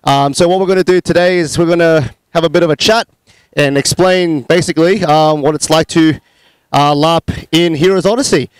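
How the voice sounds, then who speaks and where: A young man hosts an outdoor chat into a handheld mic. He talks in a fairly high register and hesitates often with little fillers. The sound is crisp and dry. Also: steady tone, normal speed, no echo. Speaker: larp club leader, host. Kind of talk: casual group interview. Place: outdoors at a convention, sunny courtyard.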